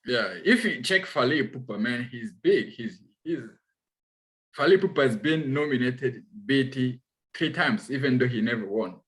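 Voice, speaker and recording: A young man from Congo hosting a relaxed chat, laughing often and restarting his sentences. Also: medium pitch, normal speed, poor audio. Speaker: host. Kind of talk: casual chat.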